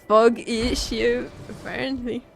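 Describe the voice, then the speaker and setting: A woman's voice: fairly high pitch, fairly even intonation, vocal fry. A woman streaming a video game, chatting casually and playfully with her viewers and given to exclaiming and joking. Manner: playful, exclaiming, quick to joke. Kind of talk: casual live gameplay chat with viewers. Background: background music.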